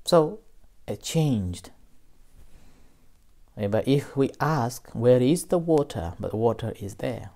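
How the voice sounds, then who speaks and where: Calm guy from nepal teaching meditation, slow and mellow, webcam at his desk. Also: mid-range voice, creaky. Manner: slow, gentle, with pauses and fillers. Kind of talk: dharma talk. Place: small room indoors.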